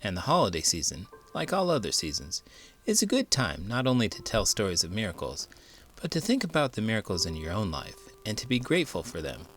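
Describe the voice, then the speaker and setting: Expressive, lively man reading a storybook. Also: lowish voice, brisk, soft background music. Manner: expressive, theatrical. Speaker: children's read-aloud host, teacher. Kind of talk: animated storybook narration.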